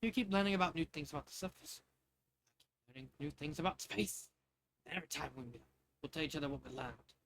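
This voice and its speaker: A man's voice: fairly high pitch, a little fast, vocal fry, long pauses, a bit bright. A man voicing an earnest, enthusiastic young male cousin in an amateur fan fiction reading. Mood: eager.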